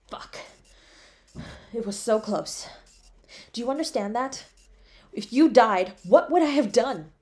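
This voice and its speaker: A woman's voice: on the high side, darkish tone. A woman playing a devoted, guilt-ridden superhero in an intimate, emotional audio roleplay, with a pleading, tender way of speaking. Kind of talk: dramatic audio roleplay, intimate second-person.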